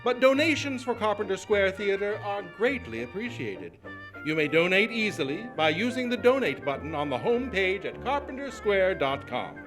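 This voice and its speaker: A man playing an old-time radio show announcer, reading sponsor lines with a booming showy pitch. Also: sing-song, normal speed, over music.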